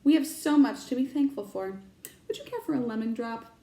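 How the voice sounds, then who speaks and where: A woman reads the part of a kindly old wizard in a children's storybook. He speaks calmly and genially, with gentle whimsy, in a fairly high register for the part. The sound is roomy and echoing. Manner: calm, measured, genial.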